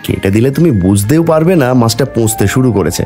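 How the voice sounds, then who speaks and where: A man's voice: medium pitch, expressive, fast, dark tone, faint music behind, close to an everyday voice. A man voicing a greedy, sly village fish seller in a cartoon, hawking and haggling. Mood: scheming. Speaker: fish seller character in a fairy-tale cartoon. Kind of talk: cartoon voice acting.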